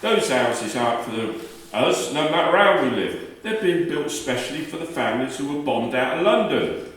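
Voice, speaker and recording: Actor playing a gruff husband in echoey room. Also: male voice, medium pitch, animated, moderate pace, a bit bright, recorded from a distance, close to an everyday voice. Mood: irritated. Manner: blunt, irritable, colloquial. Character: gruff, defensive working-class husband and father, short-tempered labourer. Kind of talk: radio play acting. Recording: very echoey room, slightly off mic.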